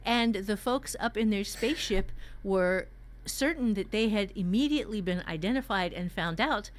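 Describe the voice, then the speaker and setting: A woman with a mid-pitched voice hosts a writing workshop. She speaks in a casual, conversational way, laying out story ideas as she would to a colleague. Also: normal speed, slight background noise.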